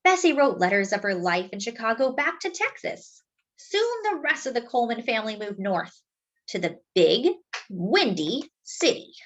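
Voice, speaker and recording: High-pitched, lively woman reading a storybook. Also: moderate pace. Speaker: storyteller reading a children's book aloud. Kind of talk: expressive read-aloud narration for children.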